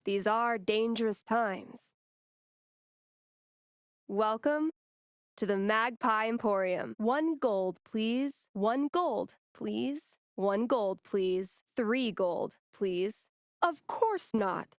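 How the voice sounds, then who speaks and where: A woman's voice: on the high side, lively intonation, very slow, dry recording, clean studio sound, heavily acted. A woman voicing a haughty, mysterious fantasy magic-item merchant in a video game role-play, formal and pompous. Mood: mysterious, aloof.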